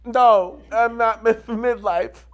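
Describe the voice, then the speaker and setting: A woman's voice: fairly high pitch, fairly even intonation, slow. A woman in her forties chatting casually as a podcast co-host, blunt and cheeky. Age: 40s. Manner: blunt, cheeky, swears freely. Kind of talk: casual chat.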